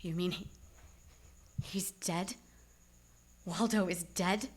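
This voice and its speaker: A woman's voice: on the low side, steady tone, slow, breathy edge. A woman acting a guarded, haughty young femme fatale in an old-time radio noir play on stage. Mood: shaken. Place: stage of live radio theatre.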